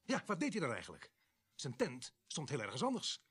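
An actor playing a blunt, suspicious police sergeant in a Dutch radio drama, with a husky, grumbling delivery. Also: male voice, a little high, huge pitch swings, brisk, fairly bright tone, heavily acted. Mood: probing.

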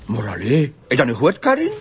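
Gossipy lazy Flemish cop, husky, excitable swooping chatter, muffled radio-drama recording. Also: male voice, medium pitch, fast, heavily acted. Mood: indignant, gossipy. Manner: rambling, excitable, familiar. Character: chatty, gossipy, work-shy male police officer.

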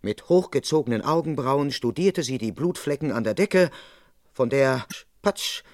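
Man acting a police constable, very animated intonation, exasperated, dogged, radio crime drama dialogue and narration. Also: medium pitch, a little slow, close to an everyday voice. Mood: determined narration. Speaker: actor in a crime radio play. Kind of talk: German radio crime play, dialogue and first-person narration.

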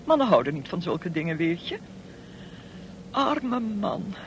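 A woman's voice: on the low side, very animated, a little slow. An actress playing an overbearing, gossipy, wealthy society lady in a radio play, condescending and theatrical, on a noisy old recording. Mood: condescending, dramatic. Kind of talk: radio drama acting.

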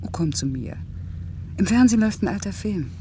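A woman's voice: medium pitch, animated, brisk, mellow, slight background noise, natural voice. An actress playing a determined, outspoken young working mother and factory worker in a German radio play. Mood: wistful, coaxing. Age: young adult. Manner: assertive, outspoken.

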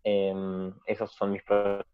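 Tinny call audio, low-voiced Argentine man. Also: completely flat delivery, takes it slowly, clean tone, faint music behind. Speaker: guest performing artist and teacher. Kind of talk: casual interview talk. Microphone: remote internet call.

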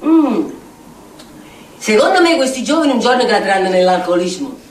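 A woman's voice: medium pitch, sing-song, moderate pace, reverberant, lightly acted. Female actor, eccentric mocking outcast, Neapolitan accent, stage monologue, distant mic, background noise. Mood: aggrieved. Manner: rambling, muttering, sardonic, swinging into chant. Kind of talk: theatrical monologue in dialect.

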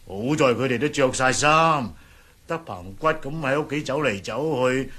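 An actor playing a timid old male housekeeper in a Cantonese radio drama, whining, sighing and fussing in a husky voice. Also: medium pitch, expressive, moderate pace, sharp tone. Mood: grumbling, fearful.

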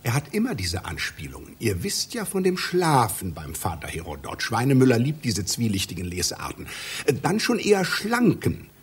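A man's voice: mid-range voice, huge pitch swings, normal speed, breathy edge, full character voice. An actor playing an obsessive, pedantic middle-aged male scholar in a satirical radio play, lecturing in a fussy, declamatory way. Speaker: literary scholar with a doctorate.

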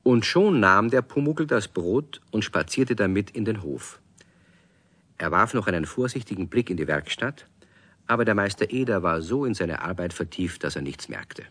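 Children's radio play storyteller: very low, dry close sound, articulate, expressive. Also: male voice, normal speed, no room sound. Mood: calm narrating. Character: measured male storyteller of a children's tale. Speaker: narrator. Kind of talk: scripted children's story narration.

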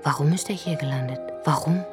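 A woman plays a brooding narrator for a radio drama. Her voice sits low, and she speaks in a hushed tone. Music plays clearly beneath her. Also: brisk.

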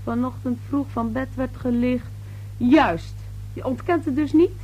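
An actress playing a brash, slippery young newspaper reporter in a radio play, glib, insistent and patronizing. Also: female voice, sits high, measured pace, darkish tone, soft background music. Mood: pushy, condescending. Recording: noisy old radio drama recording.

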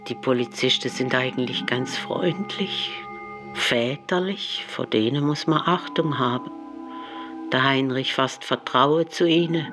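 Man, mid-pitched voice, scripted docudrama narration, clearly audible music behind. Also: a little slow. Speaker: second narrator. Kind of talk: scripted radio storytelling in present tense.

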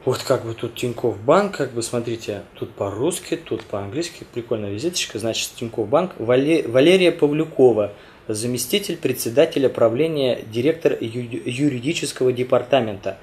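Sick guy talking to his viewers, kinda halting, normal pitch, bit of noise in the room. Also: lively intonation, a little mellow. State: ill, voice affected by a cold. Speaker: video blogger addressing viewers. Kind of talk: casual chat to camera.

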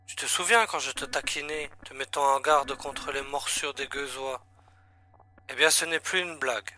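A man's voice: medium pitch, moderate pace, pushed and bright, slight background noise. A man playing a grave, urgent agency chief in a science-fiction audio drama, serious and pressing in manner. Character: grave, urgent male agency chief briefing his agent.

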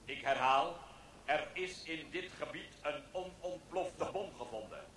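An actor playing a policeman who repeats evacuation warnings over a loudspeaker, in a radio play. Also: a little high, measured pace, roomy sound, slight background noise. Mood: announcing. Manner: flat, repeated public warnings. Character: male police officer making loudspeaker announcements.

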